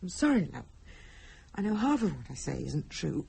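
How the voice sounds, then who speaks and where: An actress with a British accent playing a highly strung, pleading wife in a radio drama, with a husky, breathy voice. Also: female voice, sing-song, normal speed, warm tone, heavily acted. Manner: pleading, fretful.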